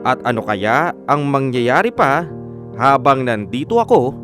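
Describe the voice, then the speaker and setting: An actor with a Filipino accent playing an earnest, lovestruck young man who narrates his own story in a radio drama, measured and sincere. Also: medium pitch, very animated. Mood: hopeful, lovestruck. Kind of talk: scripted first-person radio drama narration. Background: plucked guitar music.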